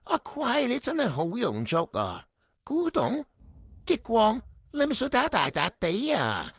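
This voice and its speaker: A woman's voice: a little high, huge pitch swings, measured pace, slightly rough, no echo, studio quality, heavily acted. A woman playing a dramatic, demanding boss with a pompous, theatrical manner in a comedic radio drama. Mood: scornful. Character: dramatic, demanding boss who talks in abstract riddles.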